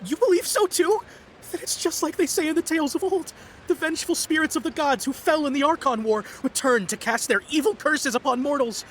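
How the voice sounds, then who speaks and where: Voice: high voice, fairly quick, fairly bright tone, slight background noise. Someone voicing a panicky, cursed young man. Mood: panicked. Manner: panicky, melodramatic, pleading. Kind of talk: game voice acting.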